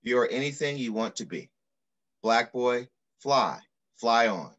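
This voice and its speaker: A middle-aged man reading aloud in the style of a children's picture book, with measured, deliberate phrasing. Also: mid-range voice, animated, a bit bright. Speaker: pediatrician reading a story aloud. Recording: muffled video call audio.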